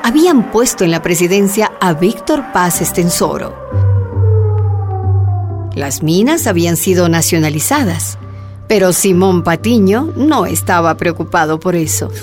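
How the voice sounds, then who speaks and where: A woman's voice: lowish voice, expressive, unhurried, music in the background. A woman performing as the solemn storyteller of a historical radio drama, in scripted narration. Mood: measured, dramatic.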